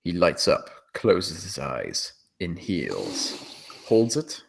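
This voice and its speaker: A man with a low voice narrates a screenplay. He reads the action and scene descriptions as dramatic scripted storytelling. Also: huge pitch swings, slow, gravelly, a little hiss or hum. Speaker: narrator reading stage directions.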